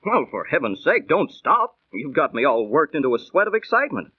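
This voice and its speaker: Eager interrogator, vintage radio play, animated, slightly high voice, thin dry sound. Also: male voice, a little fast, breathy edge, no room sound. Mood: eager, impatient. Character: eager, impatient listener pressing for the story. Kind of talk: 1930s radio acting. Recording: tinny, dry 1930s radio recording.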